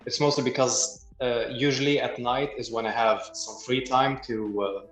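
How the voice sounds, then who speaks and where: A man's voice: medium pitch, fairly even intonation, moderate pace, fairly bright tone, roomy sound, soft background music, low-quality recording. A young man from Libya, raised in Sweden, answering interview questions casually with many fillers and light laughs. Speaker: guest photographer and doctor.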